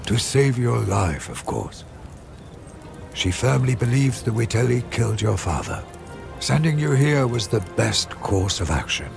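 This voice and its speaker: An actor playing a wise, wry and devoted servant of a Roman noble house, measured and courteous, in a game. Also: male voice, mid-range voice, lively intonation, gravelly, music in the background. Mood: advising. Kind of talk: scripted game dialogue.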